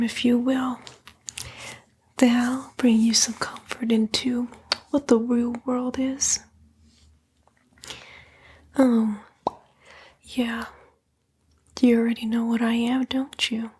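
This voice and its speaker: A woman voicing a smug, seductive female demon, a fallen angel, in a breathy, coaxing ASMR roleplay whisper. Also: on the high side, exaggerated voice. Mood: smug, welcoming, then sly, tempting. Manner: slow, coaxing, taunting, drawn-out whisper.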